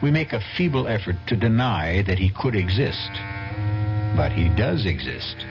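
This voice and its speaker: A man hosting a radio drama with solemn, portentous, deliberate scripted narration. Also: on the low side, sing-song. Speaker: drama anthology host. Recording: dull old radio recording with music.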